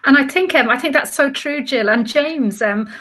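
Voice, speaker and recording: A woman with an Irish accent hosting a casual panel discussion, chatty and quick. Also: high-pitched, fairly bright tone. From Ireland. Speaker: panel host, crime writer.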